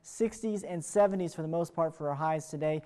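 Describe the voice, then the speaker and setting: Fast-talking American male TV weather forecaster. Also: a little high, warm tone, smooth. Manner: chatty, upbeat broadcast delivery. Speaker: television morning show meteorologist. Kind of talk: ad-libbed weather forecast presentation.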